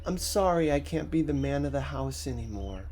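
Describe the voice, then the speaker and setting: A man voicing a gentle little boy ghost in an audiobook story, earnest, childlike and slightly halting. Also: mid-range voice, animated, slight background noise, close to an everyday voice. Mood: tender, earnest.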